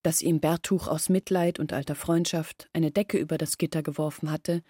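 An actress playing a grieving young village woman who tells of her family's downfall in an earnest, measured radio drama narration. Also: low voice, steady tone, dark tone, dry recording, clean studio sound. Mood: sorrowful, earnest.

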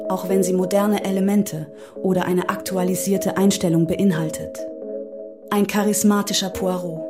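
A woman reading scripted text in a neat, formal, measured manner. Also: mid-range voice. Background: clear music.

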